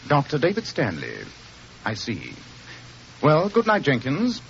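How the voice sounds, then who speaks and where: A muffled, slightly noisy old radio drama carries a commanding British gentleman detective. His fairly high voice is formal and precise, coolly reasoning his way through each line. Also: huge pitch swings, unhurried, exaggerated voice. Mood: commanding, probing.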